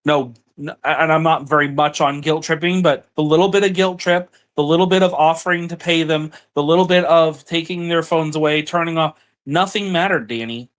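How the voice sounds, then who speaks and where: Guy fighting on a phone call, kinda high voice, frustrated and accusing, keeps repeating himself. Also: normal speed, a bit bright. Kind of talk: heated personal argument.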